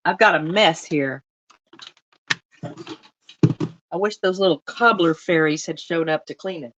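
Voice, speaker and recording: Low-voiced woman chatting while she bakes. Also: takes it slowly, fairly bright tone, a little hiss or hum, rough recording. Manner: rambling, talks to viewers while working. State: voice lower than usual from a cough. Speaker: cooking livestream host. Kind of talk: casual livestream chat while baking. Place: home kitchen. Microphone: phone mic.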